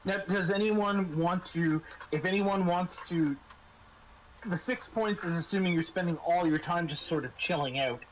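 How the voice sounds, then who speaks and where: A man acting as game master, describing scenes and explaining rules in a halting way, restarting his phrases. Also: sits high, slight background noise, poor audio. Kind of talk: tabletop RPG narration and casual rules talk.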